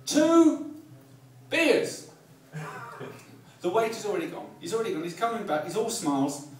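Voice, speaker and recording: A man in his forties with a southern English accent, doing live stand-up comedy in a wry, self-deprecating way with halting, repeated phrases. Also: sits high. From Kent, England. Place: live comedy night in a pub venue. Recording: echoey club, distant mic, audience noise. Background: audience laughter.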